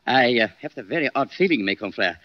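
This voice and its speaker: A man acting in a 1940s radio drama as a jovial, flirtatious continental ladykiller, suave and flattering. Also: mid-range voice, fast talker, no room sound, natural voice. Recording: dull, noisy old radio recording.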